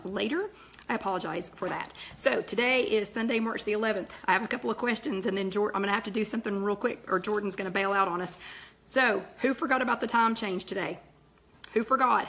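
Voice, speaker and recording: A middle-aged woman, her voice raspy and nearly gone, hosting a live chat and greeting viewers by name between thoughts. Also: medium pitch, fairly bright tone. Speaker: host of a live weight-loss chat.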